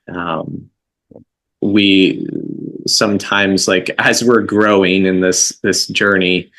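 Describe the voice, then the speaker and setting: A man hosting a podcast interview, speaking slowly and gently, affirming his guest with soft fillers. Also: low-pitched, vocal fry, rough recording. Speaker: podcast host.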